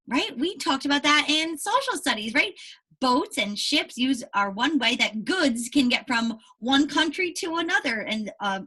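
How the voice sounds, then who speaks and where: Animated, bright-voiced woman teaching children. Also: high voice. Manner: animated, explaining with frequent check-in questions. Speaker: elementary school teacher. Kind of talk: read-aloud lesson for young children.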